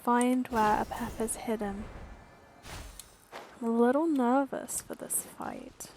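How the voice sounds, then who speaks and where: High-voiced woman casually commenting on gameplay. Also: slow pace, a little mellow, slight background noise. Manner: chatty, informal, thinking aloud. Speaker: video game streamer.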